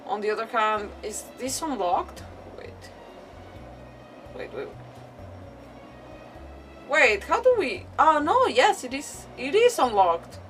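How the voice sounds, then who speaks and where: A woman's voice: mid-range voice, fairly bright tone, distant mic. A woman game streamer chatting casually on a livestream, scattered and exclamatory, often repeating words.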